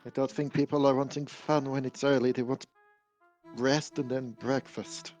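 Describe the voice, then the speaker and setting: Man, casual tabletop player, medium pitch, slightly dull recording, conversational. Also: little pitch movement, normal speed, a little mellow, soft background music. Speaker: player in a tabletop roleplaying game. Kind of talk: casual chat.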